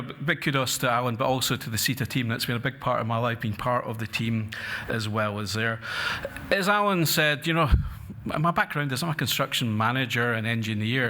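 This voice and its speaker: A man's voice: medium pitch, sharp tone. A middle-aged man with a Scottish accent giving an earnest conference talk from a lectern, with frequent fillers and restarts. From Scotland. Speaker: conference speaker. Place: conference hall. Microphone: lectern mic.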